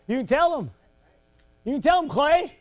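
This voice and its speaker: A man preaching a folksy sermon to a congregation, joking and laughing between lines and breaking into shouts at his high points. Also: very animated, moderate pace.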